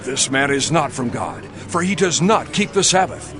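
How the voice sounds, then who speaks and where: A man's voice: a little high, animated, normal speed, crowd noise, close to an everyday voice. A man acting an indignant, condemning Pharisee in a dramatized audio Bible, accusatory and self-righteous.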